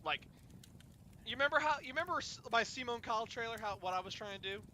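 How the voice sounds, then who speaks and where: A man hosting an informal chat, telling rambling stories in a halting, animated way and swearing at times. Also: extremely high pitch, a little hiss or hum. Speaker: host of an informal fireside chat. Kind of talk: casual chat, rambling storytelling.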